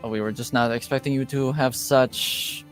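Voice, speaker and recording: A man's voice: medium pitch, steady tone, normal speed, soft background music, lightly acted. A man voicing an apologetic door guard who stumbles over an apology. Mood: flustered. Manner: flustered apology.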